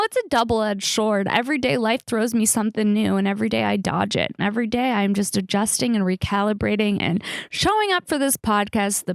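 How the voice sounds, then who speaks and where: A woman in her thirties with a creaky voice, chatting casually and candidly as a comedic podcast host, rambling and poking fun at herself. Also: mid-range voice, dry recording. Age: 30s. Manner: rambling, candid, self-mocking, casual slangy talk. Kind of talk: casual solo podcast chat, comedic storytelling.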